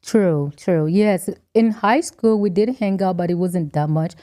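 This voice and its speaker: Mellow-voiced woman chatting with a friend. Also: on the low side, measured pace. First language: Portuguese. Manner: laughs often, animated storytelling. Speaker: podcast co-host. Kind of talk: casual chat between friends.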